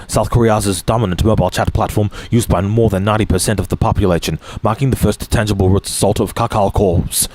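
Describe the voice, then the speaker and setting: A man with a somewhat low voice reads articles aloud for his stream. He stumbles, restarts phrases and clears his throat often. Now and then he drops a dry, offhand comment between quotes. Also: a little mellow. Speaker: streamer reading news aloud. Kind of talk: reading articles aloud with asides.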